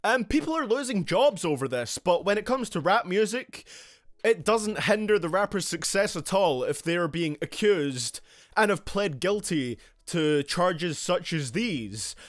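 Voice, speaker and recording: A man's voice: sits high, animated, a little slow, no room sound, clean studio sound. A young man in his late teens, hosting a casual commentary video with sarcastic asides. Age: young adult. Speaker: commentary channel host.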